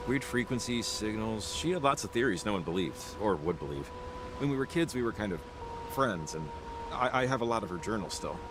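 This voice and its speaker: A man playing an earnest, hesitant radio enthusiast in a video game, speaking naturally in halting, self-interrupting phrases. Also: lowish voice, expressive, noisy background, natural voice. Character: earnest, hesitant male radio-technology enthusiast, eager to explain.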